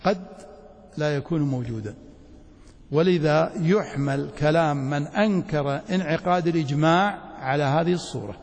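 A man's voice: fairly high pitch, vocal fry, darkish tone. A man with a Saudi accent teaching a religious lecture didactically, often checking that his students follow. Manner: didactic, checks understanding with short questions. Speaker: Islamic studies teacher, sheikh. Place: large reverberant hall, mosque. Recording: echoey hall with long reverberation.

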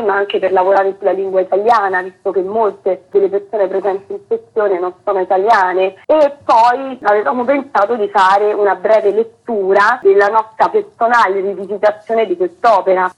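A woman's voice: medium pitch, slightly rough, poor audio. A woman being interviewed on the radio as a theatre director, explaining earnestly in long, fluent sentences.

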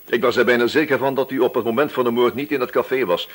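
A man's voice: medium pitch, quick pace, natural voice. A man playing a wily, relentless police inspector in a radio drama, feigning casual chat and probing until he accuses. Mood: accusing, firm. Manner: probing, hesitant feints turning accusatory.

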